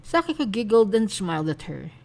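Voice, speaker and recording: A woman narrating a fan fiction story in a soft, unhurried way. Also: medium pitch, sing-song, creaky.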